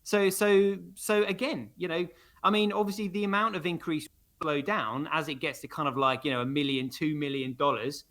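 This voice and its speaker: A man's voice: a little high, fairly even intonation, moderate pace, fairly bright tone. A man co-hosting a livestream, rambling with many fillers and restarts in casual chat. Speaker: livestream co-host.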